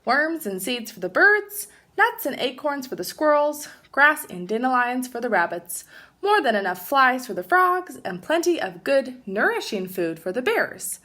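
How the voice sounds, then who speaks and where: Bright, animated woman reading a storybook. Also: high voice, a little slow. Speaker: teacher reading aloud. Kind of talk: animated children's storybook narration.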